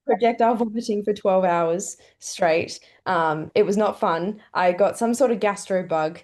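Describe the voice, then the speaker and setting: A young Australian woman in her twenties, a golfer, answering interview questions in a relaxed, chatty way with lots of "um" fillers. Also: mid-range voice, a little slow. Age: 20s. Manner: chatty, many "um" fillers, laughs often. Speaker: pro golfer guest.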